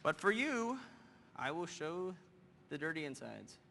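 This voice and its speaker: A man in his thirties giving a lively live software demo on a conference stage, joking with the audience. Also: a little high, gravelly. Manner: energetic, quick and joking with the audience. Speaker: presenter. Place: large conference hall stage. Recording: hall reverb, faint noise.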